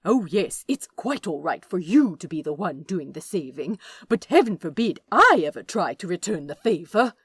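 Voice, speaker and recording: A woman's voice: on the low side, sing-song, normal speed, few pauses, slightly rough, no echo, studio quality, exaggerated voice. A woman voicing a fussy, self-righteous male angel in a story reading, with a huffy, insistent, scoffing manner. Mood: huffy, scornful. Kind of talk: dramatic fan fiction reading.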